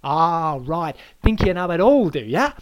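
Man doing a brash, loud bloke. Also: high-pitched, sing-song, moderate pace, no echo, studio quality, voice pushed up, heavily acted. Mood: indignant. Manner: swearing, blustering, mocking. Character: loud brash Australian male host, bullying.

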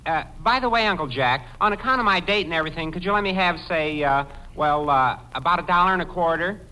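Bright, boyish lovestruck teenager. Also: male voice, fairly high pitch, fast, clear, resonant tone. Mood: eager, wheedling. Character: brash, lovestruck teenage nephew, eager and slangy. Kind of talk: 1940s radio comedy acting. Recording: slightly noisy vintage radio broadcast.

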